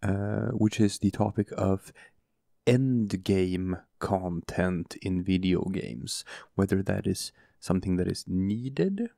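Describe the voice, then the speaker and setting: A male podcast co-host with a booming voice, talking softly and slowly right next to the microphone in casual chat, often chuckling. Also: no room sound. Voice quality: booming, gravelly voice. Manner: soft, close-mic murmur, chuckles often. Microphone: close studio mic.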